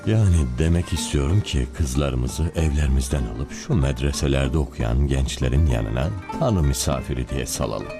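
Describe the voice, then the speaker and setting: An actor playing a calculating priest in an animated film, speaking in deliberate, speech-like sentences. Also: male voice, a bass voice, over music. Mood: measured, scheming. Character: calculating old priest testing another nation's morals.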